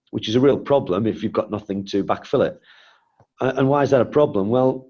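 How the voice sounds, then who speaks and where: A man with a lowish, stuffy voice from a cold leads an online presentation. He explains his points and asks rhetorical questions. The sound is muffled and lo-fi, like web-call audio. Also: a little mellow. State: congested from a cold. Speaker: guest presenter. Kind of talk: webinar presentation.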